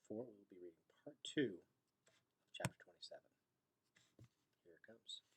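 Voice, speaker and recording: A man's voice: on the low side, animated, measured pace, background noise. A man hosting a read-aloud and narrating a storybook expressively.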